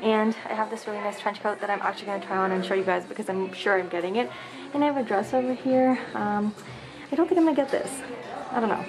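A woman's voice: mid-range voice, fast, rough recording. A young woman vlogging casually, chatting upbeat with lots of fillers, filmed on a handheld camera. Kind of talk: casual vlog chat. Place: shopping mall and home living room. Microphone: handheld camera close up.

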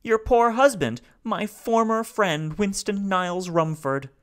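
A man voicing an old male alien machine creature, rasping and anguished as he pleads to be killed. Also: high voice, a little slow, a bit bright, pitched above the performer's own voice. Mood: despairing plea.

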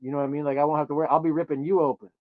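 A man's voice: on the high side, little pitch movement, racing, no room sound, phone-quality sound. A man doing a prank call on a livestream, bantering in a flirtatious, crude, effusive and slangy way. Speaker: live streamer making a prank call.

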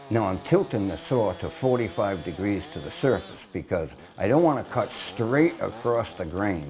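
A man's voice: lowish voice, darkish tone. An older man, a shipwright, explaining chainsaw work step by step outdoors in a plainspoken, practical way, recorded on a lapel mic. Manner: plainspoken, practical, folksy asides. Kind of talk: hands-on instructional explanation. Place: outdoors in a grassy yard by a mill.

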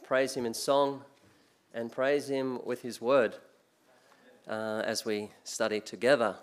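An older Australian man preaching at a church pulpit into a mic. His delivery is relaxed and conversational, full of small restarts and fillers. His pitch sits in the middle range. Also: takes it slowly, clean tone. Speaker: guest preacher. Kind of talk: sermon. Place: church sanctuary, speaking at a pulpit.

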